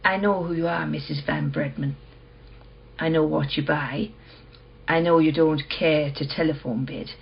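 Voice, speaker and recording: An actress playing a cool, enigmatic dealer in lost art treasures in a radio play, speaking in a terse, controlled way. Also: female voice, sits low, animated, a little slow, gravelly, highs cut off, heavily acted. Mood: confident, enticing.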